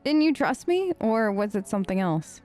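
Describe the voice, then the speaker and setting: A woman's voice: mid-range voice, sing-song, moderate pace, a little mellow, faint music behind. A woman let's-player improvising casual role-play replies in a chatty, rambling, playful way.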